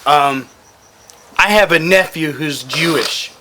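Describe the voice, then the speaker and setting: Bright-voiced, profane man swapping stories. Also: fairly high pitch, slow pace, a little hiss or hum. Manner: profane, blunt, joking. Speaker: firearms gear talk guest and former overseas contractor. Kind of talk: casual storytelling to a small group.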